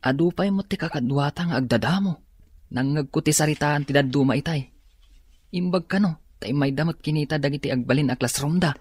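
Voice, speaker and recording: A man's voice: on the high side, fairly quick, a little mellow, breathy edge. An actor playing a friendly, naive young male student in an Ilocano radio drama.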